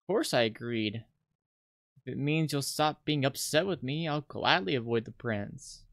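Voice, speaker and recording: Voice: mid-range voice, measured pace, fairly bright tone, no room sound, clean studio sound. A visual novel player voicing a cheerful, adoring schoolgirl in an eager, gushing manner. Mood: agreeable.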